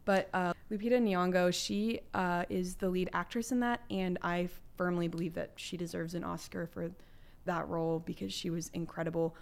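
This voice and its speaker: A woman's voice: fairly low pitch, steady tone, moderate pace, vocal fry. Chatty young woman co-hosting a podcast. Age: young adult. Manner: chatty, emphatic, youthful slang. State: recovering from flu, voice lower than usual. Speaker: podcast co-host. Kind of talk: casual chat podcast.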